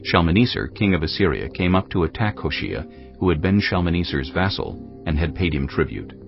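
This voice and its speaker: A male narrator reading scripture in a measured, grave storytelling manner. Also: sits low, lively intonation, creaky. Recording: dull lo-fi sound over cinematic music. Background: cinematic music.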